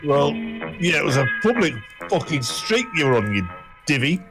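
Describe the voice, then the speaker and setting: A man's voice: on the high side, big pitch swings, normal speed, vocal fry, music in the background. A man riffing sarcastically and with profanity over an old radio show as a stream co-host. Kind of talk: casual, sarcastic commentary.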